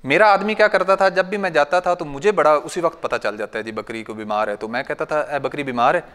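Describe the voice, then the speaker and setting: Punjabi-accented male farmer-trainer in his thirties, chatty and anecdotal, clear, resonant voice, long hall reverb. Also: on the high side, brisk, a bit bright. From Pakistan. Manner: conversational, anecdotal, recites couplets and quotes elders. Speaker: goat farmer giving a workshop talk. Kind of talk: informal training talk. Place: large bright training hall with a seated audience.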